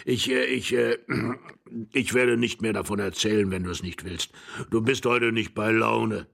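A man voicing a doddering, forgetful old husband in an audiobook, rambling hesitantly, repeating himself and clearing his throat. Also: fairly low pitch, steady tone, unhurried, a bit bright, voice pushed up, full character voice. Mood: rambling, amused. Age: older. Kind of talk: audiobook character voice.

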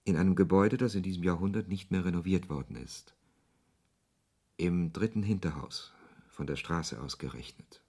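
Brooding writer, low husky voice, literary radio drama narration, sardonic, reflective. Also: male voice, normal speed, dark tone. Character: brooding, ironic male author narrating his own story. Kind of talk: first-person radio play narration and dialogue.

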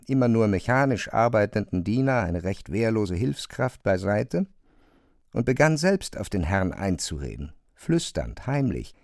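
A man reads literary prose in a measured, articulate way, as a narrator. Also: mid-range voice, vocal fry, a little mellow, no room sound, studio quality.

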